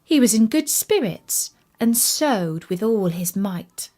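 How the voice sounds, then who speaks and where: A woman narrates a children's fairytale at an unhurried pace. Her delivery is expressive and deliberate, with a very animated rise and fall in pitch. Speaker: storyteller.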